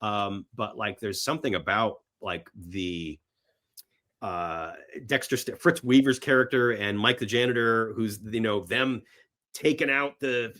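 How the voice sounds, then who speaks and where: A man chatting casually and enthusiastically as a podcast guest about films, halting and rambling, sometimes voicing imagined reactions. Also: fairly low pitch, a bit bright. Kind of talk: casual film talk in an interview.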